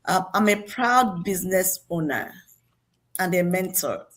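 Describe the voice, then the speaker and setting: Low-voiced woman from Nigeria. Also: lively intonation, unhurried. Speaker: registered nurse.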